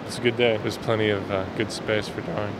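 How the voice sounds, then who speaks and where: A man's voice: fairly low pitch, level tone, fast, noisy background. A man chatting casually in an interview recorded outdoors by the sea.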